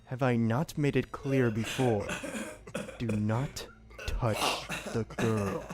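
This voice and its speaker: A man's voice: medium pitch, fairly even intonation, slow, close to an everyday voice. Man as a proud, formal demon guardian. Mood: commanding. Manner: formal, archaic, pompous diction. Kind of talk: audio drama acting. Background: faint music.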